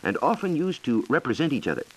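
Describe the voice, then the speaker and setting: An American man explaining science in a measured, lecturing way, as a reporter in a scripted 1940s educational radio dialogue. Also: medium pitch, very animated, poor audio. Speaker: science reporter and guest expert.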